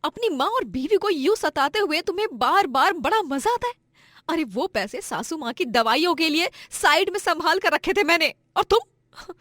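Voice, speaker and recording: A woman's voice: mid-range voice, unhurried, no echo, clean studio sound, close to an everyday voice. An actress playing an elderly, protective and long-suffering mother-in-law in a melodramatic story cartoon, with an emotional delivery. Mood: angry scolding. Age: older. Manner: emotional, pleading.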